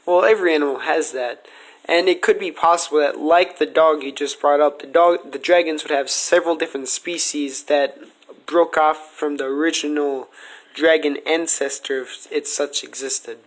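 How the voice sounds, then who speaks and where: A man's voice: on the high side, moderate pace, rough recording. A man hosting a casual podcast, speaking in an earnest, speculative way as he argues his case. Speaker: podcast host asking questions.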